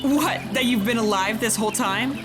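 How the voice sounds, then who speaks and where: A woman's voice: a little high, normal speed, over music, lightly acted. An actress playing an agitated, resentful young woman adventurer, curt and accusing, in a fantasy audio drama.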